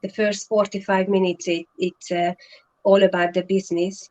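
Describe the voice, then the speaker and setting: Woman, earnest and halting. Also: medium pitch, flat delivery, a little mellow, highs cut off. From Hungary. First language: Hungarian. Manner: earnest, many fillers and restarts. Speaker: guest, online business partner. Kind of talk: casual live-stream chat.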